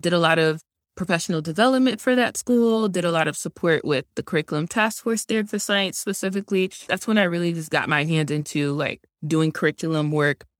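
A woman's voice: on the low side, fairly quick, no room sound, clean studio sound. A young woman, a native Haitian Creole speaker from Georgia, giving earnest, explanatory interview answers and often saying "like". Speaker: guest, science instructional coach.

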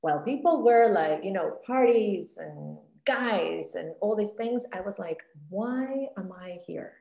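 A woman from Costa Rica, a guest speaking reflectively about her past in a relaxed interview. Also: mid-range voice, unhurried, gravelly. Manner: reflective, frequent "let's say" and "like" fillers. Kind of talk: casual interview conversation. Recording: echoey room.

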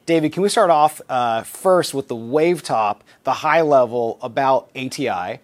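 A male podcast host with a mid-range voice. He asks his questions eagerly and keeps the talk moving with quick follow-ups. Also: medium pitch. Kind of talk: interview hosting.

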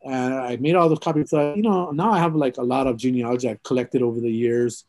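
A man's voice: mid-range voice, fast, darkish tone, dry recording. Reflective man, dry close sound. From Hawaii. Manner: rambling, reflective, many fillers and restarts. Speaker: interviewee. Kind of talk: oral history interview.

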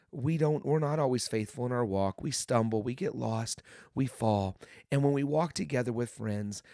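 A man hosts a devotional podcast in an earnest, encouraging tone, circling back to the same phrases. His voice is hoarse and gravelly from a lingering cold. The recording is dry and close on the mic, with no room sound. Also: medium pitch, darkish tone, no room sound. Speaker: podcast host.

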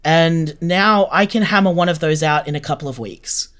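A man's voice: on the high side, moderate pace, fairly bright tone, clear, resonant tone. A man giving chatty, informal answers as an interview guest. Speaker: interview guest, podcast creator. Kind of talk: casual conversational interview answers.

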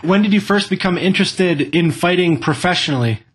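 A man's voice: sits high, expressive, fairly quick, breathy edge. A man hosting a podcast, reading formal introductions and asking interview questions in an earnest manner. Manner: earnest, formal read-out delivery. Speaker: podcast host.

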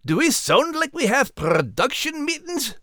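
A man's voice: very high-pitched, sing-song, a little slow, sharp tone, no room sound, studio quality, pitched above the performer's own voice, heavily acted. A man voicing a folksy, teasing animal podcast host with a Scottish accent. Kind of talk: scripted children's podcast character banter.

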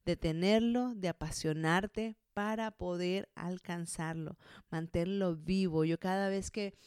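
A woman's voice: lowish voice, measured pace, darkish tone, clean tone, dry recording, studio quality. A woman in her thirties with a Colombian accent, giving a conversational motivational monologue in an encouraging way, speaking straight to the listener. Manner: encouraging, addresses the listener directly. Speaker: podcast host and motivational speaker.

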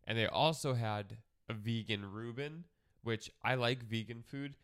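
A man with a stuffy, nasal head cold talks casually on a podcast, rambling and often breaking off mid-sentence. His voice sits at a middle pitch and comes through smooth and close. The sound is dry, with no room echo. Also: unhurried, fairly bright tone. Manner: rambling, self-interrupting, laughs often. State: congested, nasal. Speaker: podcast co-host, comedian. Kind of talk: casual chat.

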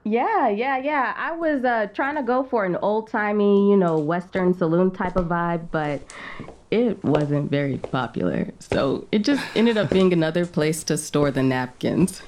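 A woman's voice: on the low side, a little slow, darkish tone, a little hiss or hum, close to an everyday voice. A woman acting as a friendly, teasing diner owner in a romance audio drama, with a casual, bantering manner. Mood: casual, sheepish. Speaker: guest voice actor in an audio romance.

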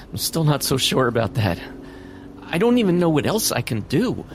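Male actor as teenage boy hero, self-doubting, stammering, medium pitch, audio drama, faint noise behind. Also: wildly swooping pitch, a little slow. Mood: nervous, doubtful. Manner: hesitant, stammering. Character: nervous, self-doubting American high school boy hero.

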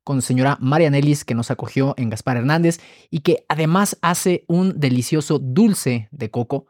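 A man hosting a health podcast, reading scripted narration in a measured, explanatory way and listing items one by one. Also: a little high, darkish tone, no echo, studio quality. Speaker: podcast host.

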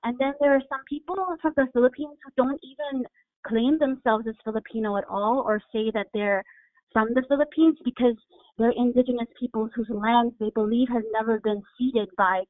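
A woman from Hawaii, a podcast guest, explaining her background and identity in a thoughtful, measured way. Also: fairly high pitch, no echo. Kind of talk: reflective interview answer. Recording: phone-like dry sound.

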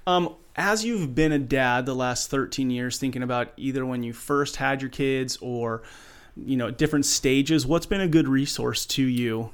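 A man's voice: mid-range voice, moderate pace, clear, resonant tone. A man hosting a podcast, asking questions in a friendly, affirming, casual way. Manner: friendly, affirming, short prompts. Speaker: podcast host. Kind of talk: casual interview chat.

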